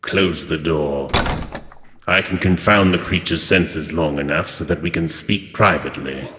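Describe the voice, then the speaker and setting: An actor playing an ancient Egyptian god of chaos in an audio drama, petulant and wry, with a theatrical, sardonic manner. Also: male voice, extremely low pitch, a little slow, noisy background. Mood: commanding. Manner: theatrical, grandly sardonic, grumbling. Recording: long cavernous reverb on the voice.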